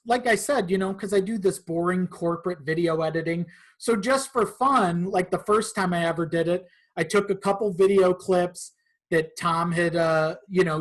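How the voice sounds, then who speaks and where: A man's voice: high-pitched, fairly bright tone. A man talking casually as a podcast guest, in an informal and slightly hesitant way. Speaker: podcast guest, content creator.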